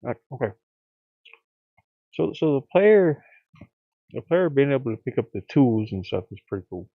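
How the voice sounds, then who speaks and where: A man's voice: mid-range voice, sing-song, moderate pace, dry recording, phone-quality sound. A man thinking aloud in casual live-stream chat, muttering informally to himself as he works. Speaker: streamer and hobby game developer.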